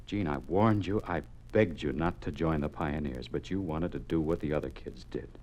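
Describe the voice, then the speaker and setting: Deep-voiced, stern, worried father. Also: normal speed, a little mellow, slight background noise. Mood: grave, regretful. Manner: grave, earnest, insistent. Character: stern, worried father urging his son to courage. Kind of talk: 1950s radio drama acting.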